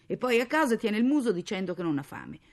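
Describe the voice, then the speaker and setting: A woman's voice: deep voice, fairly even intonation, normal speed, dark tone. A woman voicing a poor, harried mother who nags and scolds sarcastically but can turn tender, in a dramatic story reading. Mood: scolding. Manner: nagging, sarcastic, exclamatory.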